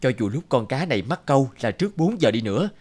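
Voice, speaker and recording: A man's voice: mid-range voice, very animated, quick pace, darkish tone, husky. A man voicing a patient, principled father in a children's cartoon, instructive and encouraging. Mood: firm.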